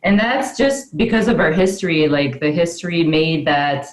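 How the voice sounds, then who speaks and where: A woman who is a band member being interviewed, explaining calmly and often affirming others with short backchannels, heard over a video call. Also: low-pitched, moderate pace, reverberant.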